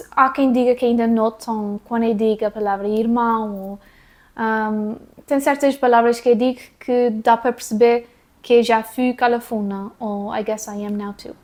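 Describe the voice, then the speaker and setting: Woman vlogger, Azorean accent with a North American mix, somewhat high voice, loose self-correcting delivery. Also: darkish tone. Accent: Azorean Portuguese mixed with North American English. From Azores, São Miguel. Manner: rambling, self-correcting, with bursts of laughter. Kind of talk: casual talk to camera.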